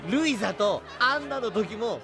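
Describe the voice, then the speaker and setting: Breezy young sidekick crewman, high and animated, slangy teasing, audio drama. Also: normal speed, over music. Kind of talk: Japanese audio drama acting.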